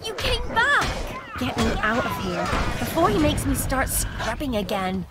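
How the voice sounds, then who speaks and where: Sardonic young servant, playful, blunt, high lively voice, unhurried, video game character, loud music behind. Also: female voice, heavy background noise, exaggerated voice. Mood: excited, pleading.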